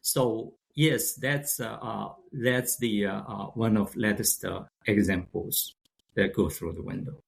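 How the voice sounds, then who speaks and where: A man in his sixties from China, speaking English with a Chinese accent, as a guest. He talks haltingly, with frequent restarts and fillers as he searches for words. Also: on the low side, creaky. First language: Shanghainese. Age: 60s. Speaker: guest, company founder. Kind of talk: casual interview talk.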